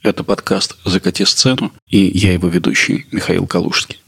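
A man presenting a culture podcast in a narrating style, with a gravelly, husky voice. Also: low-pitched, steady tone, moderate pace, soft background music. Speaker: podcast host.

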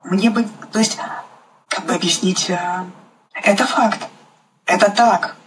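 A woman talks reflectively in an interview, at a middle pitch. She halts often to search for the right word, and there is faint noise around her voice. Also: fairly even intonation. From Ukraine. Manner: halting, searching for words. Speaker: guest playwright.

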